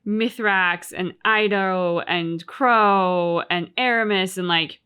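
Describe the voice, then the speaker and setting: A woman's voice: medium pitch, slow, bright, forceful tone, clean tone. Female reaction host, conversational and earnest, thoughtful casual discussion, natural mid-range voice. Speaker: host of a game reaction channel. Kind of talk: casual discussion of a game's story.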